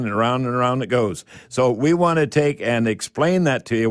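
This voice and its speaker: Folksy older man hosting radio. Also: mid-range voice. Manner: folksy, halting, repeats himself. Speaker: radio call-in show host, home repair expert. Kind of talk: conversational radio advice, explaining to listeners.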